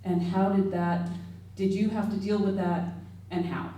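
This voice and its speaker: A woman's voice: medium pitch, flat delivery, measured pace, warm tone. A woman in the audience asking an earnest, pressing question about community trust. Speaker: audience member. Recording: very echoey hall from far off.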